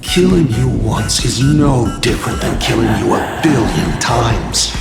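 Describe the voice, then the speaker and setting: A gloating game villain who mocks his enemy with jokes. His pitch swings widely and he draws out his words slowly. A roomy reverb and loud music play behind him. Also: expressive, creaky. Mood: mocking. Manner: mocking, theatrical, drawn-out phrasing. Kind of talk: game cutscene voice acting.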